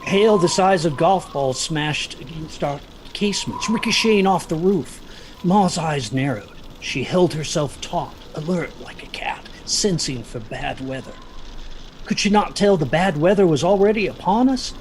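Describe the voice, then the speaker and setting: An actor playing an anxious, guilt-ridden young son, narrating a story in the first person with literary intensity. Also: male voice, fairly high pitch, animated, measured pace, noisy background. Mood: tense.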